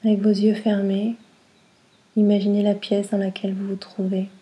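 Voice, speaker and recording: A woman guiding a relaxation meditation in a soft, breathy, soothing way, in short phrases with long pauses. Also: mid-range voice, monotone. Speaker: yoga nidra guide.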